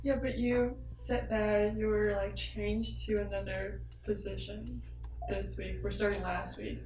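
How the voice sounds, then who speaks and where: A woman's voice: mid-range voice, little pitch movement, unhurried, a little mellow, roomy sound, distant mic, soft background music, rough recording. A young woman co-hosting a casual podcast chat, dry and teasing. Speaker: podcast co-host.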